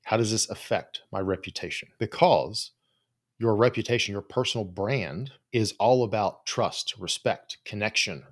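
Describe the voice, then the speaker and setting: A man with a fairly low voice gives advice on his own as a host and coach. His delivery is informal and conversational. He is blunt and no-nonsense, and drops swear words casually as he talks. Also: normal speed. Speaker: host, psychologist and business coach for creators. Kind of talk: informal solo advice talk.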